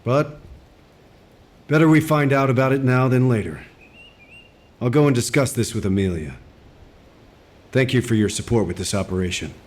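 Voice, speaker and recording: Actor as male military officer, medium pitch, composed, formal, businesslike, video game cutscene. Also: normal speed, pauses often, natural voice.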